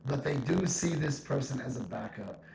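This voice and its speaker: A man's voice: mid-range voice, animated, moderate pace, gravelly, darkish tone. A man hosting a solo podcast, giving an informal, blunt and emphatic opinion monologue that repeats its points, with an echo effect on his voice. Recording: echo effect, faint background noise.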